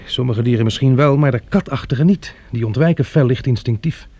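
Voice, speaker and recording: An actor playing a level-headed, pragmatic man, a wary and protective disaster survivor in a Dutch radio drama, with a gravelly, husky voice. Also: mid-range voice, a little fast, mellow, lightly acted. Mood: wary, matter-of-fact.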